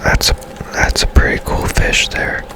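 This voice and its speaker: A man whispering casual ASMR commentary as he plays a video game, musing in a hushed, unhurried way. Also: music in the background. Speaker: game streamer, ASMR host.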